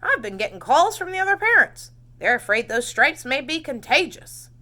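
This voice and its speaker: A woman's voice: high-pitched, big pitch swings, moderate pace, bright, forceful tone, pitched above the performer's own voice, full character voice. A woman voicing an apologetic but firm male school principal in a storybook, speaking formally and emphatically.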